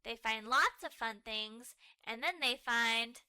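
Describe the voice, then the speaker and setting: A woman narrating a children's storybook aloud, expressive and animated, carefully phrased for early readers. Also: on the high side, takes it slowly, smooth, no echo.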